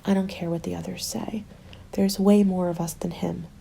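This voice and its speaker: A woman playing a starving, bitter former nurse surviving a zombie apocalypse, in a soft, hushed ASMR roleplay that drifts into whispers. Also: on the low side, level tone, normal speed, slight background noise. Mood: desperate, resolute. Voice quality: creaky, soft. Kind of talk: ASMR dramatic roleplay monologue. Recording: music under the voice. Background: music.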